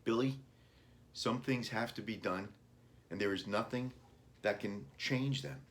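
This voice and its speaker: A man plays a gentle mother in a children's storybook. The voice lifts a little and speaks with soothing regret, apologizing to a child. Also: on the low side, measured pace, pitched above the performer's own voice. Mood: gently firm.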